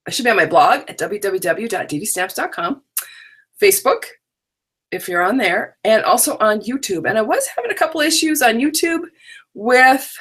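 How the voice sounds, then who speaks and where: A woman's voice: medium pitch, fairly bright tone, husky. Chatty woman with a scratchy voice. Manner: chatty, rambling, talks to unseen viewers. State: scratchy voice from allergies, drowsy. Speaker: stamping business owner hosting a live online workshop. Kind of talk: casual live-stream chat. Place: home stamp room.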